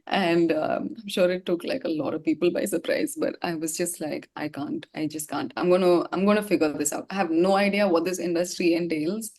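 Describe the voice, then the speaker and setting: Low-pitched woman, candid and reflective. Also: fairly even intonation, brisk, few pauses, darkish tone. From India. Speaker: musician being interviewed. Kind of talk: personal storytelling in an interview.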